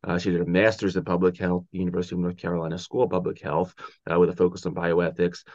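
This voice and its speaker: Young male podcast host with a lowish voice, halting and eager; dry, dull audio. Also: monotone, a little mellow, no room sound. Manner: enthusiastic, halting, many uhs and restarts. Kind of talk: interview hosting.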